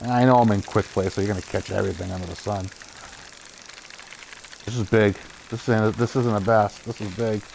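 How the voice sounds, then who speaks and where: A man's voice: fairly low pitch, moderate pace, creaky, a little hiss or hum, low-quality recording. Chatty Boston-accented male streamer. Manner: chatty, excitable at good catches, laughs often. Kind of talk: casual live gameplay commentary.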